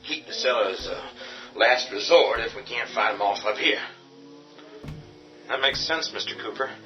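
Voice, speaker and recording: A man's voice: mid-range voice, normal speed, natural voice. An actor playing a hard, commanding man who defends a boarded-up farmhouse and turns to menacing whispers. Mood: firm. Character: tough defiant man holding a farmhouse. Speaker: Ben. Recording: dull distant audio over music.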